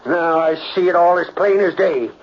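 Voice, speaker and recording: An actor playing a gruff, plain-spoken cowboy with a folksy American West accent in an old radio western. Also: male voice, sits high, moderate pace. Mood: indignant. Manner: folksy, blunt. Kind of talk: 1940s radio western acting. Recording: dull, faintly noisy old radio recording.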